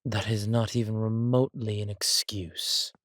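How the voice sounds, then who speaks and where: A man playing an arrogant, petulant villain king in an audio drama, with a drawling, theatrical, sardonic delivery and a breathy, husky voice. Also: on the low side, level tone, normal speed, a bit bright, no echo, clean studio sound, close to an everyday voice. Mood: defensive, sardonic. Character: arrogant, petulant villain king, cruel yet doting on his consort.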